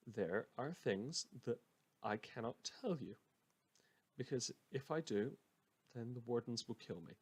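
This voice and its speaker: A man playing a troubled, defiant small-town radio host, delivering an earnest, halting monologue in an audio drama. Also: mid-range voice, darkish tone, close to an everyday voice.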